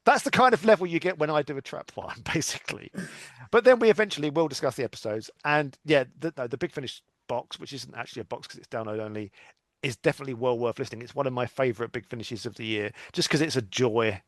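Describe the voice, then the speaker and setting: A man's voice: medium pitch, fast talker, no echo, studio quality. A man chatting casually as a podcast guest, in a rambling, chatty way. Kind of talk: casual chat.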